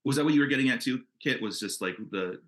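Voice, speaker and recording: A man's voice: mid-range voice, expressive, fast talker, a bit bright. A man speaking as a comics creator on a panel, casual and earnest, talking in an enthusiastic, rambling way.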